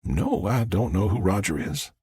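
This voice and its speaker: A man voicing a confident, friendly businesswoman with a smooth Southern US accent in an audiobook. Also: sits low, lively intonation, normal speed, darkish tone, no echo, heavily acted. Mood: polite, businesslike. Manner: smooth, polite, forthright.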